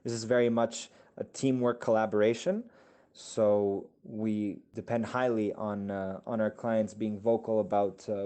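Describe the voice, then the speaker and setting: Male account manager for an explainer podcast. Lower register, very soft-edged, mellow tone. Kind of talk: explanatory company podcast answer.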